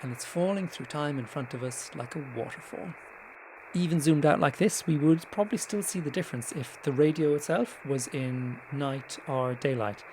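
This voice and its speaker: A man's voice: a little high, moderate pace, a little mellow, rough recording. A man presenting a casual, conversational screen-share tutorial in an informal way, with small hesitant asides. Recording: clear background noise.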